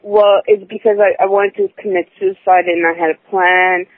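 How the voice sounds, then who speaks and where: A woman's voice: mid-range voice, little pitch movement, no echo. Hesitant young woman on a phone line. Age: 20s. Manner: halting, restarts and self-corrects often. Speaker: caller. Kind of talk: personal account on a call-in show.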